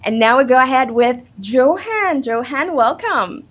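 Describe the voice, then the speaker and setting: A woman's voice: high voice, expressive, measured pace, fairly bright tone. A woman hosting a live student panel, upbeat and encouraging, sometimes restarting her sentences. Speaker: livestream host.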